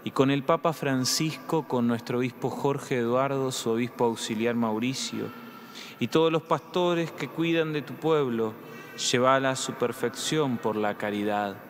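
Young argentine priest, steady even voice, church echo all around. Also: male voice, medium pitch, unhurried. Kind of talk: liturgical prayer and instructions. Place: large church. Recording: long church reverb.

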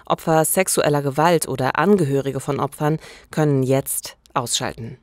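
A woman's voice: extremely low pitch, moderate pace. A woman in her thirties co-hosts a true-crime podcast. She narrates conversationally, speaking close to a microphone in a studio. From eastern Germany. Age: 30s. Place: wood-panelled radio studio. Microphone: close studio mic with pop filter.

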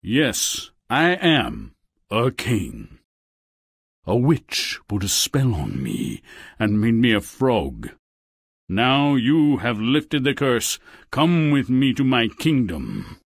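A man's voice: mid-range voice, huge pitch swings, takes it slowly, vocal fry, husky, dry recording, clean studio sound, heavily acted. A man voicing a suave, wheedling, theatrical frog who is secretly a king, in a fairy-tale video game. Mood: regal. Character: smug, courtly male frog, secretly a king.

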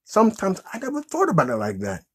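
A man hosts a puppet show in a casual, improvised way. His voice is husky and unpolished, that of someone in his thirties. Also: high-pitched, huge pitch swings, fast, fairly bright tone. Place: small indoor room with green backdrop.

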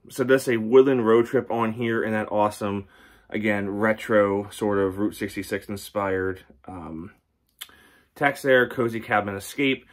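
A man's voice: lowish voice, fairly bright tone. A man in his thirties, a candle reviewer, chatting casually to camera at home, rambling with many ums and restarts. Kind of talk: casual product review vlog. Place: home room with record shelves.

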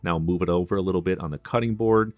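Deep-voiced chef giving cooking steps. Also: male voice, quick pace, no echo, narrow, tinny audio. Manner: instructive, friendly, practical kitchen tips. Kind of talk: cooking tutorial voice-over.

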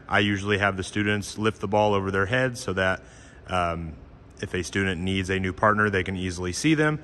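Bright-voiced man explaining a gym drill. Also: low voice, fairly quick, long reverb tail, a little hiss or hum. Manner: friendly and plain, with frequent "uh" fillers. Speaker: PE teacher and channel host. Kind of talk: instructional voice-over explaining a drill.

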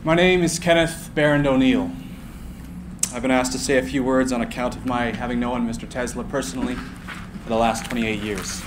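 Grieving mourner in his forties, read by a man, formal and measured, noisy room. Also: mid-range voice, steady tone, close to an everyday voice. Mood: solemn. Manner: formal eulogy delivery. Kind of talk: staged script reading.

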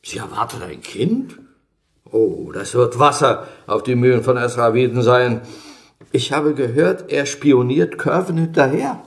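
Creaky-voiced, knowing townsman. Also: medium pitch, lively intonation, measured pace. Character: measured, well-informed gossiping townsman in a colonial port. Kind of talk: German audio drama acting.

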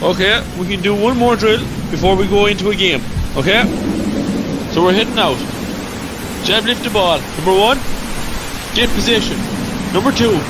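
A man plays a brisk Irish hurling coach in a radio drama. His voice is high for a man, and he gives clipped, repeated orders. Heavy ambient noise surrounds him. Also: lightly acted. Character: brisk, bossy hurling coach drilling girls' team. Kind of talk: amateur radio drama acting. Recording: very noisy outdoor-like ambience.

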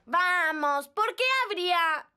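A woman voicing an assertive, excitable little boy kitten in a Latin American Spanish cartoon dub. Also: very high-pitched, slow, background noise. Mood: insistent. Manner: emphatic, excitable. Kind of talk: animated children's cartoon dub.

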